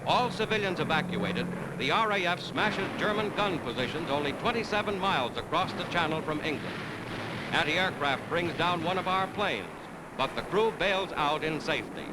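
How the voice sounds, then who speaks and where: A man's voice: fairly high pitch, lively intonation, moderate pace, heavy background noise. A man delivering scripted 1940s wartime newsreel narration as an urgent, dramatic announcer. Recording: vintage 1940s newsreel soundtrack.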